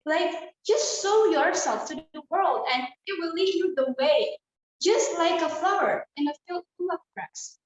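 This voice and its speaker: A woman's voice: extremely high pitch, animated, a little slow, heavy reverb, highs cut off. A young woman from Indonesia giving an earnest, prepared inspirational speech as a student, talking straight to her audience over a video call. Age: young adult. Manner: earnest, addresses audience directly. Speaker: student speaker.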